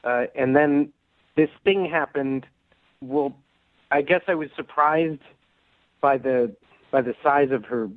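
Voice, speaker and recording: Over a dry, narrow phone connection, a male caller talks to a radio show. His voice sits a little high for a man, and he tells things haltingly, nervous and quick to apologise. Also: dry recording. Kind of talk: casual, halting storytelling. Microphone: phone call. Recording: narrow, tinny phone line.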